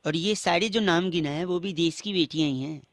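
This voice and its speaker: A radio host playing Bauaa, a cheeky young prank caller who teases people with wordplay and puns. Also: male voice, on the high side, brisk, fairly bright tone, no room sound. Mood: needling. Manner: teasing, playful, wordplay jokes.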